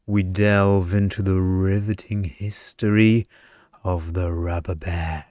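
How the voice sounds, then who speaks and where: A man's voice: deep voice, level tone, slow, a little mellow, dry recording, studio quality. A man voicing a smug, pedantic male energy vampire in an ASMR story.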